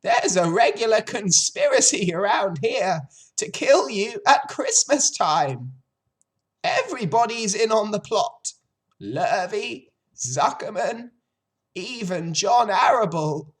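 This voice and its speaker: Lady doing a grumpy old sheep from a storybook, high voice, blunt and emphatic, knows it all. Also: lively intonation, takes it slowly, breathy edge. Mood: grimly blunt. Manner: blunt, emphatic, matter-of-fact. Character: blunt, snappish old female sheep, grimly knowing. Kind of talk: storybook character voice.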